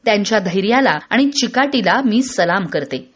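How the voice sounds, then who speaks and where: A woman reads scripted radio news in a formal, measured manner. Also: medium pitch, lively intonation, husky, highs cut off. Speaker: radio newsreader.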